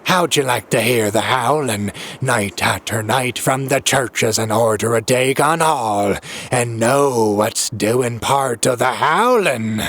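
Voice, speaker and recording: A man's voice: mid-range voice, takes it slowly, fairly bright tone. A man voicing a crazed, frightened old man in an audiobook, ranting and muttering in frantic rustic dialect. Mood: frenzied, menacing. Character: crazed, terrified old New England drunkard ranting of horrors.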